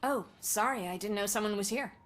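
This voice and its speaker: An actress playing a candid, sardonic commercial actress in a game, chatty and dry. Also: female voice, mid-range voice, fairly quick, a bit bright, a little hiss or hum, close to an everyday voice. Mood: wry.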